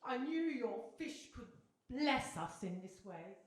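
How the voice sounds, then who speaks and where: A woman's voice: on the high side, sing-song, takes it slowly. A woman playing a greedy fisherman's wife turned Pope in a live radio play, grand, haughty and declaiming. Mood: haughty, smug. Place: live stage in a hall with audience. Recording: very echoey hall, distant.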